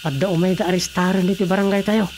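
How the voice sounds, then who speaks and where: An actress playing a polite, watchful village councillor with a Filipino accent in a radio drama. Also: female voice, lowish voice, fairly even intonation, fast talker, a little mellow, a little hiss or hum, natural voice. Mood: concerned.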